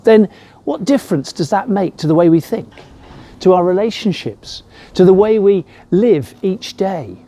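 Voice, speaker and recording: A man giving a reflective sermon as a rector, speaking in a measured way with rhetorical questions. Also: high-pitched, animated, mellow. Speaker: rector preaching a Christmas message.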